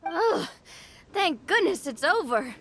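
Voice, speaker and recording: An actress playing a thoughtful young woman adventurer in a video game, speaking in an earnest, expressive way. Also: extremely high pitch, slow, heavily acted. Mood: relieved, amused.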